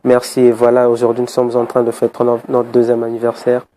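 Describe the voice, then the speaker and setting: A man leaving an earnest, measured and formal phone voice message. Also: medium pitch, monotone, mellow, a little hiss or hum. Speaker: group member.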